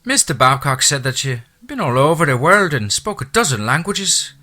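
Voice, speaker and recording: A chatty middle-aged Irish housekeeper with a thick, lilting brogue, motherly and busy. Her voice sits well above a low male register and rings bright, as a man does her voice in a storybook reading. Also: very animated, normal speed. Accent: Irish (put on).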